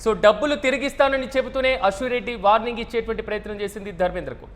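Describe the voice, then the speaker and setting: Fast, high-pitched male news anchor. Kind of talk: live news anchoring and commentary.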